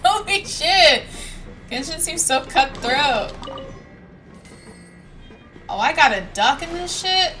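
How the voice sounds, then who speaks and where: A young woman chatting casually and playfully as she streams a video game, with expressive reactions. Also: high voice, slow, pauses often, faint music behind. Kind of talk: casual livestream gameplay chat. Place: indoor livestream with game music behind.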